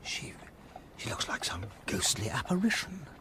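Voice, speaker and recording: An actor playing a fussy, loyal gentleman in a period radio drama, with formal and proper phrasing and an easily flustered manner. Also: mid-range voice, sing-song, normal speed, noisy background, close to an everyday voice. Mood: weary, concerned. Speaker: narrator and character.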